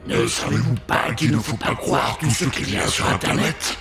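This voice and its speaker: Man doing a gravelly, cackling wicked ogress. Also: mid-range voice, over loud music, natural voice. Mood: mocking, gloating. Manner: menacing, mocking, drawn-out delivery. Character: huge wicked ogress, gloating, cruel, candy-greedy. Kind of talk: theatrical children's horror storytelling.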